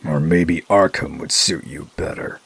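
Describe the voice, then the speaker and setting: A man playing a grim, commanding masked vigilante in a comic book dub, terse and threatening in a gravelly, husky growl. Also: very deep voice, fairly even intonation, unhurried, fairly bright tone, exaggerated voice. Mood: stern warning.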